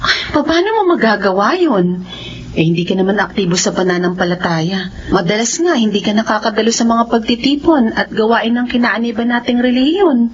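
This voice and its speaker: A woman's voice: on the high side, animated, brisk, lightly acted. An actress playing a questioning, doubtful woman, talking conversationally in a Filipino radio drama. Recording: dull radio drama audio, faint noise.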